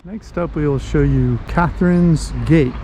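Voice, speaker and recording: A man's voice: on the high side, a little slow, music in the background, poor audio. A man narrating a sightseeing walk as a casual travel vlogger.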